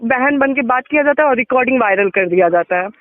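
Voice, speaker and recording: A woman's voice: a little high, lively intonation, fast talker. A woman in a candid personal phone conversation, explaining and justifying herself insistently, often checking that the listener follows. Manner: insistent, repeatedly checking with "okay?". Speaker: interviewee on a phone call. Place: telephone call. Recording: tinny phone line.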